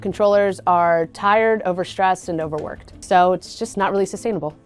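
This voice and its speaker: A woman comments in an interview as an advocate. Her voice is a bit low for a woman. Faint music sits behind it. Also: normal speed.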